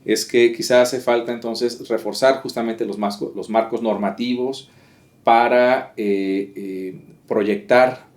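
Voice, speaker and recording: A man's voice: medium pitch, fairly even intonation, takes it slowly, darkish tone. A man interviewing a guest, summarizing in formal academic phrasing with many hesitations and fillers.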